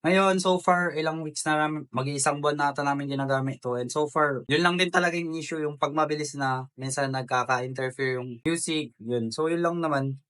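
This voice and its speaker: A man's voice: a little high, brisk, few pauses, fairly bright tone, clear, resonant tone. A Filipino man giving a casual step-by-step tutorial as a vlogger, informally addressing viewers like friends.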